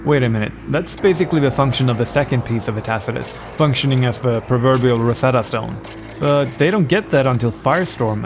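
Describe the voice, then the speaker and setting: A man narrates a scripted lore piece, dropping wry jokes along the way. His voice sits in an ordinary middle register. Music plays clearly under him. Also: mid-range voice. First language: Swedish. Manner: wry, playful asides. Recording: voice-over with music bed.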